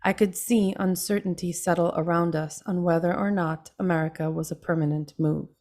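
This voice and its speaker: A young woman from Trinidad, an author reading her own memoir aloud in a measured, earnest way and then answering questions on a video call. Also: fairly low pitch, fairly even intonation, darkish tone.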